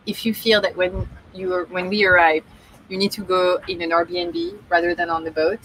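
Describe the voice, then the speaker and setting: A woman's voice: fairly low pitch, flat delivery, normal speed, a bit bright, a little hiss or hum, poor audio. Lady co-hosting a live stream on a boat in a marina, chatty, lots of restarts, webcam sound. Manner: chatty, hesitant, many restarts and fillers. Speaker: sailing channel co-host. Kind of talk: casual live stream chat. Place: boat cockpit in a marina, outdoors.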